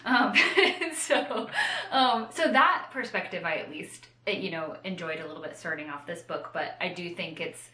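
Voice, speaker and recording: A woman's voice: lowish voice, normal speed, bright, forceful tone, echoey room. A woman in casual, unscripted chat as co-host of a book show, rambling and self-deprecating, often slipping into acted-out quotes.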